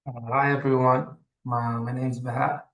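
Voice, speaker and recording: A man from Morocco, a medical intern, introducing himself in a hesitant, halting way. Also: mid-range voice, level tone, a bit bright. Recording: roomy echo on a call.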